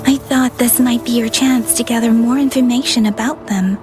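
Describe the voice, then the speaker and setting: A woman voicing a gentle, earnest young ranger in a video game, sincere and dutiful. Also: on the high side, fairly even intonation, moderate pace, music in the background, natural voice. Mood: calm, considerate. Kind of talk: video game character dialogue.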